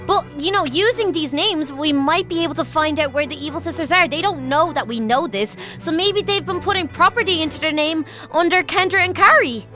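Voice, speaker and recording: A woman's voice: high voice, brisk, hardly any pauses, sharp tone. A woman playing an anxious, bossy young princess and peacemaker in a children's game roleplay. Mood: puzzled. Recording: music playing behind the voice.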